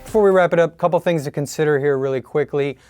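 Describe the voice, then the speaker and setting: A man's voice: a little high, fast talker, over loud music. An American man in his forties giving plain-spoken, scripted tutorial narration in a studio. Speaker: tutorial host. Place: studio, indoors.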